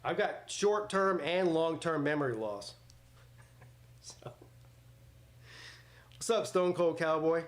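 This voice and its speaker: A man's voice: a little high, slow pace, long pauses. A man in his forties hosting a live sports watch party, mixing casual chat with play-by-play in a blunt, joking, rambling way. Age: 40s.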